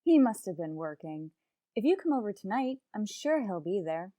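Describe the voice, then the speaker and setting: A woman acts out a wry schoolteacher in a radio comedy, with a mellow voice at middle pitch. She is quick-witted and sardonic, recorded very dry and close. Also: sing-song, dry recording, close to an everyday voice. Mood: dry, exasperated. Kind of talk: old-time radio comedy acting.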